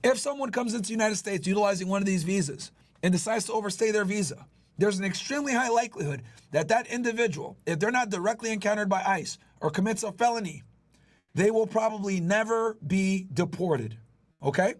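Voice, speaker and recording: A man hosting a livestream explainer, talking emphatically with casual swearing, repeated points and frequent check-ins with his listeners. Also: high-pitched. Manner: checks in with listeners using "right?", "okay?". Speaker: livestream host, former federal agent.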